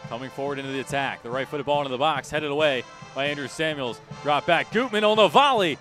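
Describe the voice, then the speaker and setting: A man's voice: fairly high pitch, animated, fast talker, fairly bright tone, soft background music. A man doing live radio play-by-play of a soccer match, describing each touch in clipped, urgent, emphatic phrases. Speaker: play-by-play announcer.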